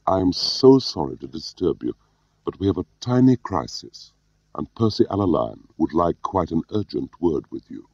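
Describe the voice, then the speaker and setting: A man's voice: deep voice, sing-song, moderate pace, darkish tone, no echo, heavily acted. An apologetic foreign man put on by an audiobook narrator speaks politely and carefully with an accent. The recording is dry and somewhat lo-fi. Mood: apologetic, urgent. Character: apologetic male colleague with imperfect English.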